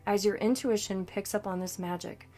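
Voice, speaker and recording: A woman coach narrating a scripted guided meditation in a soothing, deliberate manner. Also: mid-range voice, steady tone, dark tone. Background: background music.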